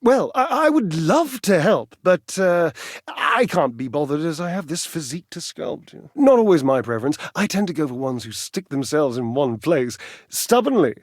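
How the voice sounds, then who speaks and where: An actor playing a vain, witty, lovesick clothes dryer in a video game, with a theatrical, florid and coquettish delivery. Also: male voice, a little high, sing-song, normal speed, dry recording, clean studio sound. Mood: arch, coy. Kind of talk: video game character acting.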